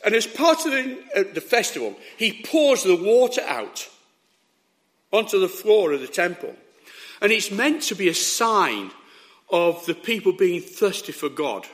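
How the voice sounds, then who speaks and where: A hoarse man delivers an emphatic sermon, his voice sitting high and leaping around with lively intonation. The tone is bright and pointed, and he keeps checking in with the people listening. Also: a little slow. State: hoarse, sore throat. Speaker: preacher.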